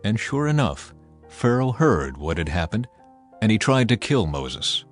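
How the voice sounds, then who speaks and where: A man reading scripted Bible narration in a measured, expressive storytelling manner. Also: on the low side. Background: background music.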